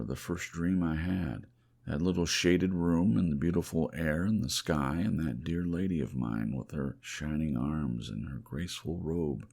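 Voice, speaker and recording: Very deep, creaky male storyteller. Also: a little mellow. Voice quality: gravelly, creaky. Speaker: audiobook reader. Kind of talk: hushed ASMR audiobook narration of classic fiction.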